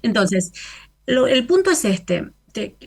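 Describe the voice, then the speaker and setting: Reflective Argentine woman poet, halting. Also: fairly high pitch, sing-song, vocal fry, breathy edge. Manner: reflective, halting, with frequent restarts and soft laughs. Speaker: guest poet and novelist. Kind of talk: radio interview. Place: remote, from Italy.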